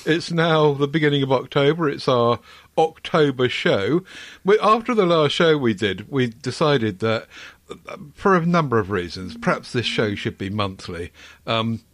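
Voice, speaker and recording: A man's voice: mid-range voice, expressive, moderate pace, a bit bright, dry recording. A middle-aged man hosting a podcast, chatting casually and rambling with many ums and restarts. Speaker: podcast host. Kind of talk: casual chat.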